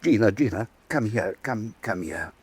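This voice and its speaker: An actor playing a fretful, self-pitying, vain ruler in a radio drama, with pompous, theatrical speechifying and a husky voice. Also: male voice, fairly low pitch, lively intonation, normal speed, darkish tone. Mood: aggrieved, self-pitying.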